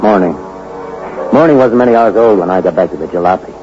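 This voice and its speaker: A man's voice: low voice, fast. Hard-boiled man narrating noir radio drama. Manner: hard-boiled, clipped, sardonic. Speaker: narrator and lead actor. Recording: muffled old radio recording with music.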